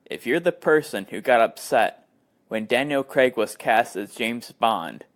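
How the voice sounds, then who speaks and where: A man's voice: mid-range voice, fairly bright tone. A man hosting a podcast and reading a scripted review in the manner of a narrator. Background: music.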